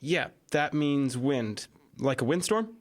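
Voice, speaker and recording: A man's voice: medium pitch, very animated, slow. A man playing an earnest, curious teenage schoolboy in an audio drama, with a questioning manner. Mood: puzzled, earnest.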